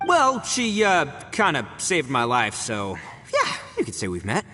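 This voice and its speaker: An actor voicing a boastful, rowdy male oni gang boss in a video game, brash and quick to laugh. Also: on the high side, huge pitch swings, faint music behind, heavily acted. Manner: brash, loud, laughs a lot. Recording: game audio with long reverb.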